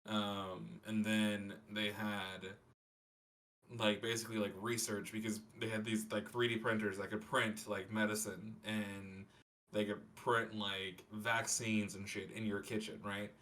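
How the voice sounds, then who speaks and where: Male podcast panelist, lowish voice, informal and slangy. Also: flat delivery, measured pace, a bit bright. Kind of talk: casual podcast chat.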